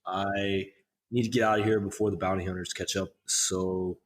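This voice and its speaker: A man's voice: low voice, level tone, normal speed, a little hiss or hum, lightly acted. A man playing a weary, self-interested fugitive drifter in a tabletop game, speaking hesitantly. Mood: reluctant, uneasy.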